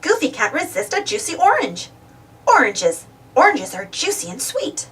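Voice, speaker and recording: A high-voiced woman narrates a children's storybook. Her reading swings widely in pitch and is expressive and simple for small kids. She sounds set back from the microphone. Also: normal speed, far from the mic. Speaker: storybook reader. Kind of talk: scripted read-aloud children's storybook narration.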